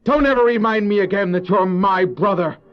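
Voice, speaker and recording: An actor playing Cain, a proud, resentful farmer and elder brother, in a theatrical, argumentative manner for a religious audio drama. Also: male voice, high-pitched, a little fast, clean tone, faint music behind, close to an everyday voice. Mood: angry. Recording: dull old cassette audio drama.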